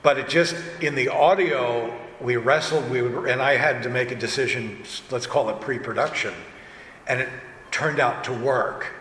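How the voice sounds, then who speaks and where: A man's voice: medium pitch, normal speed, fairly bright tone. Man chatting on panel, echoey hall. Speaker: director and actor speaking on a panel. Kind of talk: convention panel talk, casual. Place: large convention panel room. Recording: echoey hall, distant, noisy room. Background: room ambience and noise.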